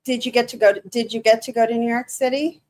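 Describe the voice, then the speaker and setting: A woman with a Michigan accent hosting a casual livestream, chatting to viewers in a halting, nervous way full of restarts and fillers. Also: fairly high pitch, flat delivery, a little mellow. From Michigan, USA. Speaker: livestream host.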